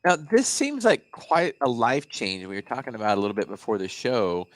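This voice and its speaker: A man's voice: medium pitch, big pitch swings, moderate pace, no room sound. Friendly man in his fifties, dry room. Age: 50s. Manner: friendly, encouraging interviewer. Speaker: podcast host. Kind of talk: interview podcast.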